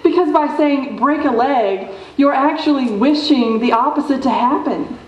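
A woman's voice: sits high, normal speed, natural voice. A woman playing a breezy, condescending small-town theater director in a radio show performed on stage. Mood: breezy, instructive. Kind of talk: old-time radio show acting on stage. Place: theater stage before an audience. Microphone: stand microphone, camera at a distance. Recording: dull, echoey live stage recording.

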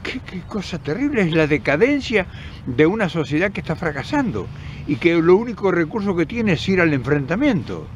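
Older man, Argentine accent, emphatic commentary, outdoor close camera, lively pitch, slightly high voice. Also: normal speed, slight background noise. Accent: Argentine Spanish. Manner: earnest, emphatic, many restarts and repeated words, gesturing with hands. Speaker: political commentator. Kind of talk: impassioned direct-to-camera commentary. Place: outdoors in countryside by forest path. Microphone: camera at close range.

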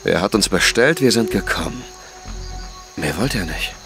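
A man's voice: low voice, animated, normal speed, over loud music. An actor playing a terse, tense man under threat in a crime radio drama. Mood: terse, wary.

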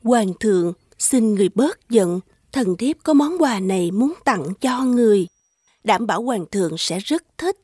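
A woman voicing a poised, scheming empress in an animated fairy tale, smooth, courtly and controlled. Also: mid-range voice, normal speed, dry recording, studio quality. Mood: calm, gracious. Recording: music behind the voice.

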